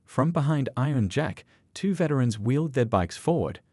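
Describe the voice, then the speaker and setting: A man's voice: medium pitch, animated, normal speed, a little mellow, dry recording. A man narrating a scripted dramatic story.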